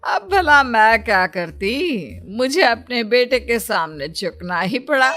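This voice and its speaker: A woman's voice: on the high side, very animated, takes it slowly, fairly bright tone, slight background noise, exaggerated voice. A woman voicing a scheming, haughty rich mother-in-law with an imperious, calculating manner in a storybook tale. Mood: evasive, falsely resigned. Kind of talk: storybook character acting. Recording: music bed behind the voice.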